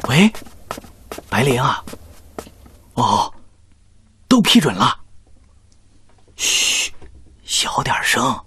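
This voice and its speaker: An actor playing an earnest younger doctor in a Chinese radio drama, polite and eager, with a playful streak. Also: male voice, mid-range voice, very animated, drawn-out words, pauses often, pushed and bright, slightly rough, exaggerated voice. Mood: hushed, uneasy. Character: earnest, joking younger male doctor, devoted student.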